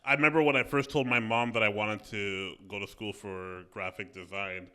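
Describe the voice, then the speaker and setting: A man in his thirties hosting a podcast interview, talking in a relaxed, storytelling way into a close studio microphone in a small office. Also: fairly low pitch, moderate pace, clear, resonant tone. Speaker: podcast host. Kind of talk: casual conversational interview. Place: small office room indoors.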